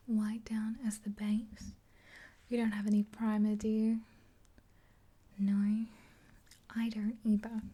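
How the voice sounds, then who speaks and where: Casting: woman in her twenties, soft husky whisper, subdued intonation, casual ASMR makeup-artist roleplay. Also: mid-range voice. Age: 20s. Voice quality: breathy whisper. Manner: soft, gentle, rambling.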